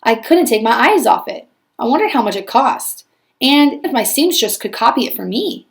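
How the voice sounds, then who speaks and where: A woman acts out a snobbish stepmother telling her side of a storybook tale. She is theatrical and exasperated, forever sorry for herself, in a high voice. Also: very animated, normal speed, gravelly. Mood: put-upon. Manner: theatrical, put-upon.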